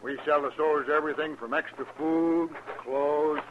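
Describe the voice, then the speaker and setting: An American actor playing a brisk, plain-spoken army storekeeper in a 1950s western radio drama, gruff and folksy. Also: male voice, on the high side, fairly even intonation, close to an everyday voice. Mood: businesslike. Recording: muffled, hissy vintage radio recording.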